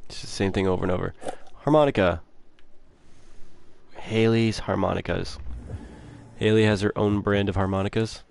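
A man's voice: fairly low pitch, normal speed, gravelly, long pauses, darkish tone, clean tone. A young man casually commenting while playing a video game, rambling and reading in-game notes aloud. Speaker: gamer playing a horror-adventure game. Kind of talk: casual let's play commentary.

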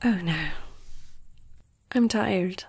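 A woman playing a gentle, protective vampire in an ASMR roleplay, soft-spoken and often slipping into a whisper, soothing a human. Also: mid-range voice, very slow, creaky, husky, heavily acted. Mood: tender, wistful.

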